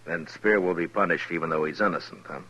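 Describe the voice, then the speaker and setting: An American man playing a steady, sardonic Old West marshal in a dry, laconic 1950s radio acting style. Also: low-pitched, quick pace. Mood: dry, challenging. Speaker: lead actor and narrator. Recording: muffled 1950s radio recording, music behind.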